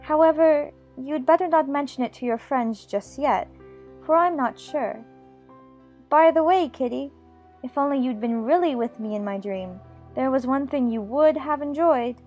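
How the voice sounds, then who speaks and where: A woman's voice: sits high, normal speed, muffled recording, soft background music, close to an everyday voice. A woman playing a chatty, imaginative little girl in an audiobook, fond and bossy, prattling on in playful scolding. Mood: chatty, musing. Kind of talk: dramatized audiobook character acting.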